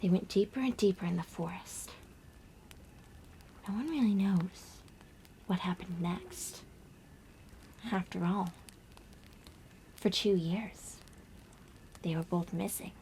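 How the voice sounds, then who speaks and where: A woman playing a gentle young girl on a camping trip in an ASMR roleplay, speaking softly and breathily with hushed, dramatic pauses. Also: lowish voice, slight background noise, low-quality recording. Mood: hushed, spooky. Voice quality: soft, breathy whisper. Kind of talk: ASMR roleplay, soft whispered storytelling.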